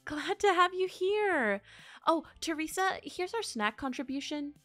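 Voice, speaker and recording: A woman's voice: high voice, lively intonation, unhurried, fairly bright tone, dry recording, faint music behind. A woman playing a teasing, supportive friend in a naturalistic audio drama. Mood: playful. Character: playful, supportive girlfriend and friend in costume.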